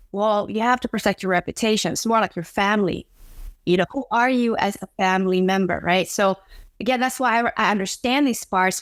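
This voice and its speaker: Indonesian-born woman, earnest and expressive. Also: mid-range voice, dry recording, studio quality. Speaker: psychologist, guest. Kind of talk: conversational interview. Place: home office.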